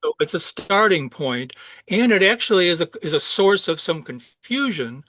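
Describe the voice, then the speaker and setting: A man's voice: sits high, very animated, moderate pace, sharp tone, dry recording. A man with a Chicago accent, talking casually in a group discussion with frequent restarts. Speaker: discussion participant.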